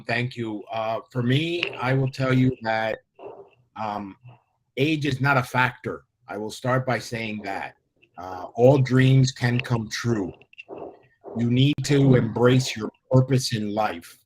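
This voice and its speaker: A man's voice: mid-range voice, a little hiss or hum, rough recording. A man in his fifties from the United States, a life coach guest speaking earnestly and inspirationally. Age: 50s. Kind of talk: interview talk.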